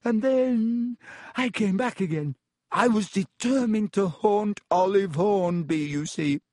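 A man's voice: high voice, slow pace, a little mellow, no echo, studio quality, pitched above the performer's own voice. A man voicing a self-pitying ghost of a teenage schoolgirl, wailing and dramatic, relishing her gloomy tale.

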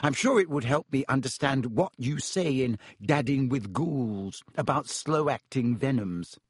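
A man's voice: mid-range voice, animated, measured pace, breathy edge, dry recording. A man voicing an earnest, nervous, studious young schoolgirl in a storybook, with a hesitant, eager manner. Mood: nervous, pleading. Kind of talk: audiobook character acting.

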